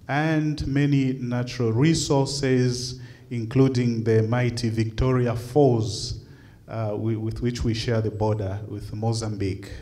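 A middle-aged man from Zambia speaks as a bishop addressing a conference, his delivery slow and deliberate. He fills gaps with uh and um, and his voice sits at an ordinary male pitch. He is heard through a podium microphone in a lecture hall. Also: darkish tone. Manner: measured, with frequent uh and um pauses. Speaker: bishop giving a conference talk.

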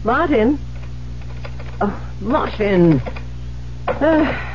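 An actress playing an imperious, irritable middle-aged widowed novelist in a theatrical, impatient 1970s radio drama style. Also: female voice, on the high side, lively intonation, extremely slow. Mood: flustered, pleading. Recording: muffled, noisy 1970s radio broadcast with hum.

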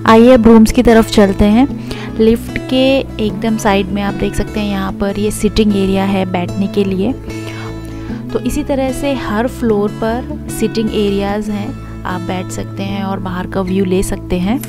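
A woman's voice: mid-range voice, steady tone, a little slow, over music. A young woman, a travel vlogger, giving a chatty hotel tour voice-over and switching between Hindi and English phrases.

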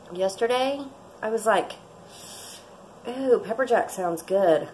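A woman's voice: on the high side, slight background noise, low-quality recording. A woman, chatting casually and rambling through a cooking vlog in her home kitchen, recorded by a camera close by. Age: 40s. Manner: chatty, rambling, laughs often. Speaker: vlogger. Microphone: fixed camera at close range.

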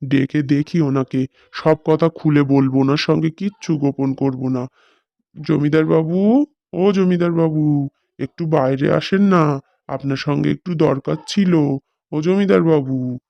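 A man's voice: fairly high pitch, a little slow, vocal fry, no echo, lo-fi sound. A man voicing a humble, pleading poor father.

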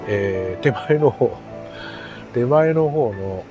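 A man's voice: medium pitch, expressive, slow, dull audio, music in the background. A middle-aged man from Japan talking casually to camera as a hobbyist vlogger, in an easygoing, rambling, self-deprecating way. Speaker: amateur radio hobbyist vlogger. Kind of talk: casual vlog talk to camera.

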